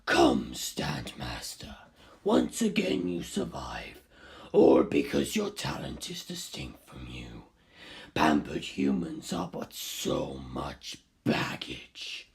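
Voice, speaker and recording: Gravelly, arrogant ancient villain. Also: huge pitch swings, full character voice. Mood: cold commanding. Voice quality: very creaky, gravelly low rasp, breathy. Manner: slow, scornful, lecturing. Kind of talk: dramatic audiobook character acting.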